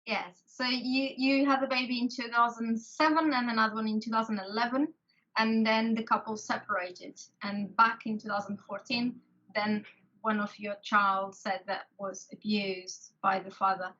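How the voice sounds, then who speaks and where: Bright halting woman with Portuguese accent. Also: fairly high pitch, steady tone. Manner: halting, many restarts and fillers. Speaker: interviewer. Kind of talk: video call interview. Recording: lo-fi call audio.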